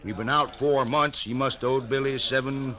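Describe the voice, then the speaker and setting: A man playing a rough, nervous frontier hide skinner in an old radio western, with a folksy drawl. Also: mid-range voice, steady tone, moderate pace, a bit bright, close to an everyday voice. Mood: wary. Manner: folksy drawl, eager and wary. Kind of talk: 1950s radio western acting.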